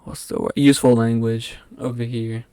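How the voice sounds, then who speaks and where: A man's voice: mid-range voice, level tone, normal speed, vocal fry, warm tone, clean tone, no room sound. A young man from England whose first language is Afrikaans, speaking as a podcast guest in a rambling way with many hesitations. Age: young adult. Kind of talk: casual podcast interview.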